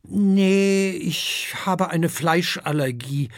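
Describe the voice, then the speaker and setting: Narrator doing a little dragon boy, higher voice, earnest n polite, bit hesitant, storybook. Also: extremely slow, no room sound, clean studio sound.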